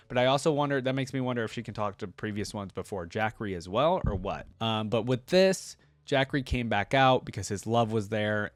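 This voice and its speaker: A man's voice: lowish voice, no echo. A man doing casual reaction commentary and read-aloud of game lore, chatting informally with plenty of filler. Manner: informal, filler-heavy asides. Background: music.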